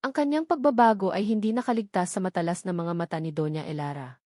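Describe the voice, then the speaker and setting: A woman's voice: on the low side, quick pace, a little mellow, dry recording, clean studio sound. A woman with a Filipino accent reading a scripted dramatic story as its narrator.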